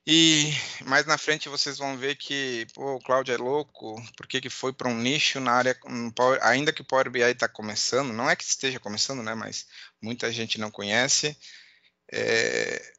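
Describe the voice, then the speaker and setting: A middle-aged man with a southern Brazilian border accent touched by Uruguayan, giving an informal online presentation in a halting way, repeating words and checking in often. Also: medium pitch, steady tone, sharp tone, dry recording, dull audio. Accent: southern Brazilian border accent with Uruguayan influence. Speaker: conference speaker, accountant and data analyst. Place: at home, indoors.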